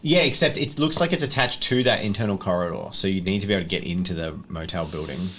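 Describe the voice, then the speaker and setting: A low-pitched man acts as game master, describing scenes for a tabletop roleplay in an improvised way. There is clear room noise behind his voice. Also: fast, a bit bright. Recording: noisy room ambience.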